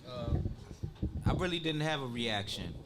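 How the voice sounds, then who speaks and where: A man in his forties speaking calmly and matter-of-factly on a podcast. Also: fairly high pitch, a little slow, heavy background noise. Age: 40s. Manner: calm, matter-of-fact. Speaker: podcast guest. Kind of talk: casual chat.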